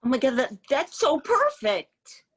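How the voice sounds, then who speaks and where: A woman's voice: sits high, very animated, a little slow. A woman from Israel who moved to New York as a child, hosting a casual interview in an effusive way, often repeating phrases for emphasis. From Israel, moved to New York at age four. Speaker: storytime host.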